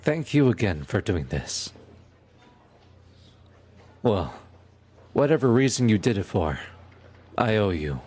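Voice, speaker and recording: A man's voice: lowish voice, big pitch swings, slow, long pauses, darkish tone, full character voice. A man playing a courteous, businesslike police detective in an audio roleplay.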